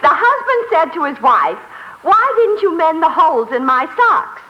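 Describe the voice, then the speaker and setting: A woman playing an excitable, wisecracking neighbor wife in a 1950s radio comedy, with a teasing, expressive delivery. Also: very high voice, normal speed, dull audio. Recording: noisy old radio before studio audience.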